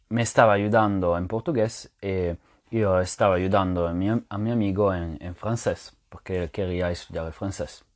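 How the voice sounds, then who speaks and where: A man whose first language is French talks through a language point in a low voice. He explains patiently and thinks aloud, often pausing on filler sounds and restarting words. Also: darkish tone. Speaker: language teacher podcaster. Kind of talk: casual spoken lesson to listeners.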